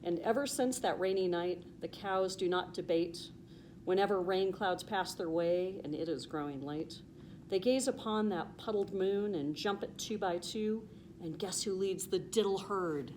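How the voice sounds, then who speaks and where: An American woman reading a children's storybook aloud with expressive, emphatic, sing-song rhyming delivery. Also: on the low side, a little slow, a little hiss or hum, low-quality recording.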